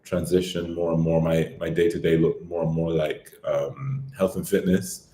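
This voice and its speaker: A man in his thirties from Barbados, a podcast guest telling his life story in a relaxed, rambling way with lots of fillers. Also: extremely low pitch, moderate pace, reverberant. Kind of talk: casual interview chat.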